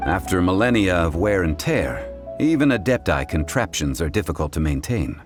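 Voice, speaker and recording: A man voicing a composed, courteous scholarly gentleman in a video game, formal, measured and wry, over music. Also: low voice, big pitch swings. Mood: thoughtful, composed. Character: composed, erudite gentleman consultant, courteous and unhurried. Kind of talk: scripted video game dialogue.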